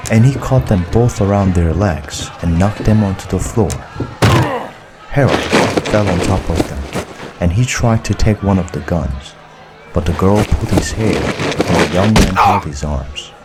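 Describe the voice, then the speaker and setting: A man narrating a story as a scripted audiobook, in a measured, deliberate way. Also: low voice, very noisy.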